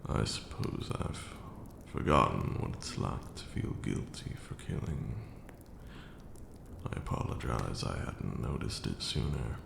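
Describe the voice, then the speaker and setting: A man playing an ancient vampire lord, a stern yet caring mentor, speaking in formal, measured, archaic phrases in an ASMR roleplay. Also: expressive, exaggerated voice. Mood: solemn, consoling. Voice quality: gravelly, very deep. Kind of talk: ASMR roleplay, slow intimate monologue. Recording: roomy echo with audible background noise.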